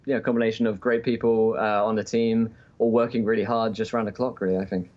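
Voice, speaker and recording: A man's voice: lowish voice, little pitch movement, fast. Englishman on a dull call line. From England, just outside London. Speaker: podcast guest, Twitch D&D streamer. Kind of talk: casual interview chat. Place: remote call-in.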